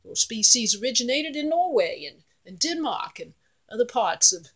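A woman's voice: fairly high pitch, big pitch swings, normal speed, husky, voice pushed up. A woman voicing a learned, middle-sized male goat who talks in a formal, long-winded, pompous way.

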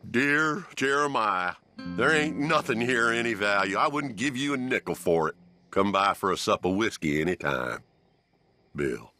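Bright-voiced man reading documents aloud. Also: fairly low pitch, animated, moderate pace. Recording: faint music behind the voice.

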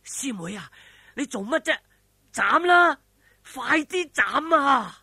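A man voicing a cunning, urgent young swordsman hero in a Cantonese martial arts story, shouting and goading with a Hong Kong accent. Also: extremely high pitch, expressive, slow pace, no room sound, studio quality, pitched above the performer's own voice, full character voice.

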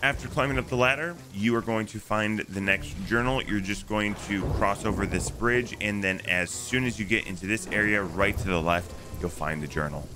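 A man's voice: on the low side, moderate pace, hardly any pauses, background noise. A man narrating a video game walkthrough in a casual, instructive way. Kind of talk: walkthrough commentary over gameplay. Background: music.

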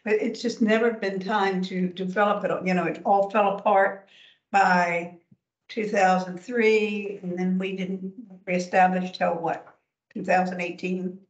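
An older woman chairing a town commission meeting, soft-spoken and hesitant, often trailing off. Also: medium pitch, flat delivery, unhurried. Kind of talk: meeting discussion. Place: town council chamber. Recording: echoey room, dull lo-fi sound.

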